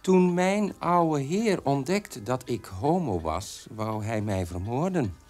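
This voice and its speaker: Bitter, defiant son, animated radio monologue. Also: male voice, medium pitch, slow pace. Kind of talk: Dutch radio drama monologue.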